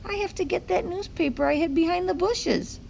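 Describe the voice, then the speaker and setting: A woman voicing an eager, curious, easily worried pet dog in a children's picture book read-aloud, expressive and full of wondering. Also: very high-pitched, fairly quick, creaky, slight background noise, voice pushed up, exaggerated voice.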